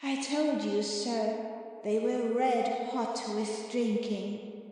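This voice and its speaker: A woman playing an airy, obedient, mischievous spirit servant in a dramatic Shakespearean audiobook, telling things in a measured lilt. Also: fairly high pitch, steady tone, mellow. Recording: long cavernous reverb on voice.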